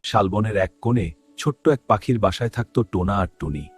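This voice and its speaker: A man's voice: lowish voice, lively intonation, a little fast, slightly rough. A man narrating a cartoon fairy tale in a storytelling manner. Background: faint music.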